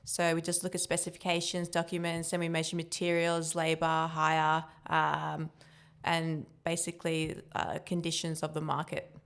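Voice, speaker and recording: A young woman with Turkish as her first language answers interview questions in a relaxed, chatty way. Her voice sits fairly low for a woman and sounds smooth and clear. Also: normal speed. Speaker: guest, building cost estimator. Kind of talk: casual podcast interview.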